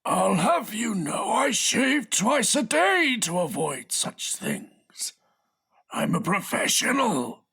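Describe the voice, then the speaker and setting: A rasping, eccentric old lord from a comic audiobook. The voice is cracked and husky, drags words out slowly, and sounds haughty, dotty and easily offended. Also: male voice, high-pitched, sing-song, pushed and bright, pitched above the performer's own voice, full character voice. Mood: indignant, haughty. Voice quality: creaky, rasping. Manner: dramatic, drawn-out delivery. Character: cackling, eccentric, indignant elderly English lord. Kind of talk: comic audiobook character reading.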